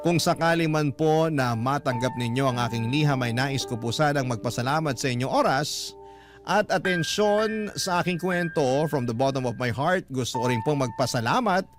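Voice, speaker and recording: A man's voice: mid-range voice, moderate pace, music in the background. A man with a Filipino accent narrating a heartfelt letter dramatically as a radio storyteller.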